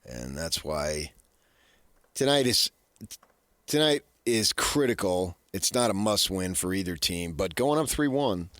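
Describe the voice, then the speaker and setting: An American man with a lowish voice gives sports commentary as a radio co-host. He is measured and earnest, and the close studio sound is dry. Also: big pitch swings, fairly bright tone, no room sound.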